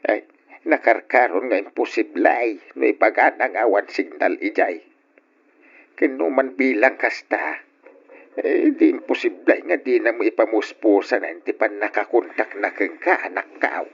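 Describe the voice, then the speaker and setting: A man's voice: on the low side, normal speed, creaky, slightly rough. A man acting an insistent, concerned older father in an old Ilocano radio drama. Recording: dull old radio recording.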